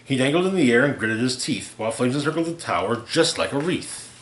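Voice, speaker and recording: A man with a medium-pitched voice reads a rhyming holiday story aloud. His delivery is theatrical and deliberate, shaped around the verse. The recording is roomy and echoey, like a home reading. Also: lively intonation. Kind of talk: expressive read-aloud of a rhyming holiday parody.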